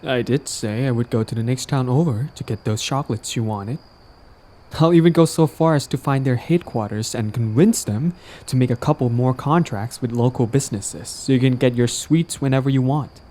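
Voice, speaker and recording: A man's voice: medium pitch, moderate pace, dark tone, lightly acted. A man playing a lovestruck, persistent villain in an intimate romantic audio roleplay, talking casually and coaxingly to the listener, smug yet earnest. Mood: smug, persuasive.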